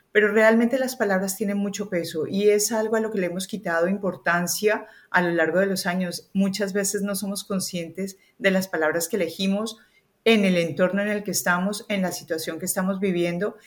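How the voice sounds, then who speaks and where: A woman with a Colombian accent, a communication coach, talking through her work in a relaxed podcast interview with the odd hesitant filler. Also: on the low side. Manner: articulate, explanatory, with hesitant fillers.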